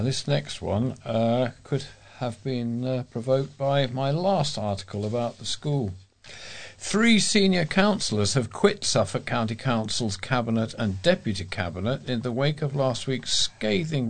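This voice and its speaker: A man reading local news aloud as a volunteer reader for a talking newspaper. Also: mid-range voice.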